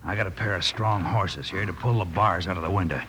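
A man's voice: low-pitched, a little fast, a bit bright. An actor playing an eager, nervy American outlaw henchman in an old-time radio western. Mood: hushed urgency. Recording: noisy, clicking old radio recording.

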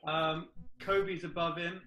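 A man's voice: on the high side, level tone, takes it slowly, bright, forceful tone, reverberant, soft background music. A man co-hosting a casual chat, teasing and laughing along. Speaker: podcast co-host.

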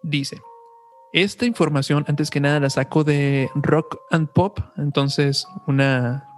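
Higher-voiced Mexican man hosting over music. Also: slow pace. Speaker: podcast host. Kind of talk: casual chat and reading aloud. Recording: music behind the voice.

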